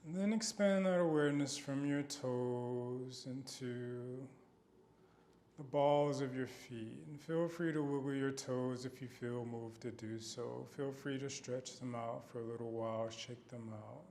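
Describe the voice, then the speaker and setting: Guy leading a guided meditation, slow gentle delivery w lots of pauses, bit of vocal fry, slight noise behind. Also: medium pitch. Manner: gentle, unhurried, with long pauses. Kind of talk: guided body scan meditation.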